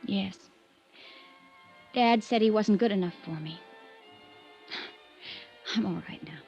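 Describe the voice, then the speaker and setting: A woman's voice: mid-range voice, takes it slowly, vocal fry, darkish tone. A woman playing a spiteful, wounded young daughter in a 1950s radio drama, arch, sarcastic and theatrical. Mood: defensive, wounded. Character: bitter, mocking young rich rancher's daughter, spiteful and wounded. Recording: dull vintage radio recording with music.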